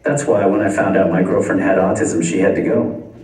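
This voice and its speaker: A young Canadian man performing a stand-up comedy set, delivering dry one-liners deadpan at a live venue. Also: deep voice, fairly quick, a little hiss or hum. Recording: echoey venue from far off.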